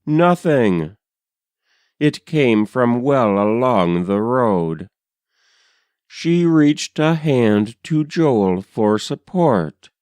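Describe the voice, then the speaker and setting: A man narrates a poem at a very unhurried pace, phrasing it with care. His voice is smooth and clear at a medium pitch, recorded dry with no room sound. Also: lively intonation, a little mellow, dry recording. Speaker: poetry reader. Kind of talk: measured literary poem narration.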